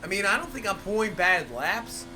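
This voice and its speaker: A man's voice: high-pitched, sing-song, moderate pace. A man with a cold, rambling through casual gameplay commentary and leaning on fillers like "obviously" and "for sure". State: has a cold. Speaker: gaming channel host.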